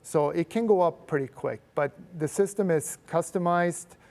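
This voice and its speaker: Guest: middle-aged man from Sweden, gravelly edge, steady plain explainer. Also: on the high side, moderate pace. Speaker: guest, company representative. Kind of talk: casual TV interview answers.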